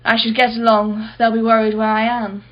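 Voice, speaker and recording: A woman's voice: on the high side, completely flat delivery, fairly quick, muffled recording, natural voice. An actress playing an imperious, wealthy businesswoman in a radio play, guarded and impatient, speaking in a clipped, formal way. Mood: impatient, dismissive.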